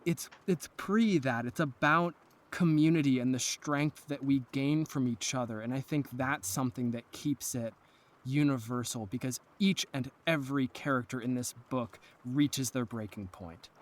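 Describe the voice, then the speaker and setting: A man speaking as a podcast guest, discussing literature in an earnest, eloquent and deliberate manner. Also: mid-range voice. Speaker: podcast guest, audio drama maker.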